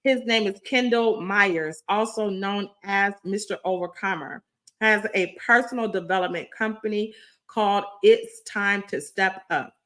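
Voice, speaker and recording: A woman hosting a podcast, her voice a little raspy, talking with effusive, repetitive, preacher-like enthusiasm. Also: medium pitch, a little slow, fairly bright tone. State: slightly raspy voice. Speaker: podcast host.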